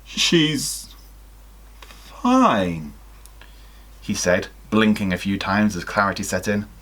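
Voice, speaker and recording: A man's voice: low voice, level tone, moderate pace, a bit bright. A young adult man reading a story aloud in a theatrical way, acting out the dialogue. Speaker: guest reader of listener fan fiction. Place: small attic bedroom, indoors.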